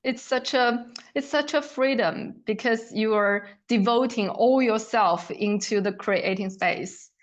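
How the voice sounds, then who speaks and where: A woman author from China with a Chinese accent, a native Chinese speaker, discussing writing in earnest, deliberate phrases with pauses. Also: fairly high pitch, highs cut off. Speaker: author guest. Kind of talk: reflective literary discussion.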